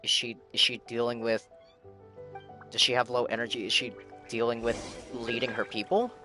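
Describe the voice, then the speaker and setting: Bright-voiced man, casual game streamer. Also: medium pitch, little pitch movement. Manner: musing, halting. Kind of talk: casual live reaction commentary. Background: faint game music.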